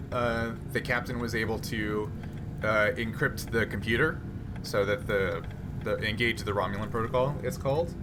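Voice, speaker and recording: A man casually narrating a tabletop game as game master, in a halting, hesitant manner. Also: mid-range voice, noisy background.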